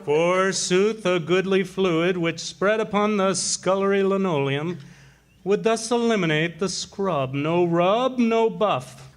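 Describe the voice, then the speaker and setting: A man playing a hammy pitchman who fancies himself a Shakespearean actor, declaiming floridly in 1940s radio comedy style. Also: takes it slowly. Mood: grandly declaiming.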